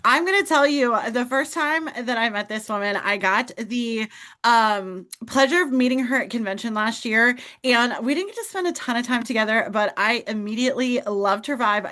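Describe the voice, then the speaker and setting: A woman's voice: on the high side, normal speed, sharp tone. A woman hosting a team call, upbeat and enthusiastic, with a motivational manner. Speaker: host.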